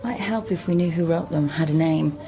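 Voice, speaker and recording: An actress playing a wry, solitary British genealogist in a naturalistic radio drama, with a dry, matter-of-fact way of talking. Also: female voice, low voice, brisk. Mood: wry, businesslike. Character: wry, solitary female genealogist, brisk and self-mocking. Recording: music under studio radio drama.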